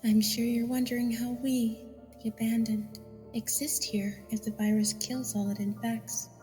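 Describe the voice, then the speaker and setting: A woman's voice: a little high, flat delivery, dark tone, soft background music, close to an everyday voice. Woman as solemn ghost filly in long reverb. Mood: calm, explaining. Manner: solemn, measured storytelling. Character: ghostly young filly, solemn victim of an epidemic.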